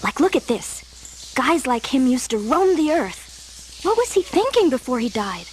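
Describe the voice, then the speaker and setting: An actress playing an earnest, wondering young fossil enthusiast in a radio play. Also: female voice, sits high, very animated, measured pace, slight background noise. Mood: wistful, fascinated.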